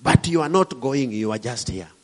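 A man's voice: medium pitch, normal speed, a little mellow. A middle-aged man from Kenya with a Kenyan accent, preaching a sermon with emphatic, rising and falling delivery.

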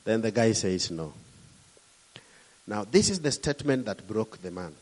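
A man's voice: fairly low pitch, animated, unhurried, darkish tone, rough recording. A middle-aged man from Kenya with a Kenyan accent, preaching a sermon with emphatic, rising and falling delivery.

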